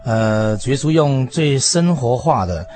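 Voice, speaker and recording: A man, a preacher explaining haltingly, phrase by phrase, as an interview guest. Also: medium pitch, lively intonation, a little mellow, faint music behind. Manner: halting, phrase-by-phrase with trailing particles. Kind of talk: explanatory religious talk.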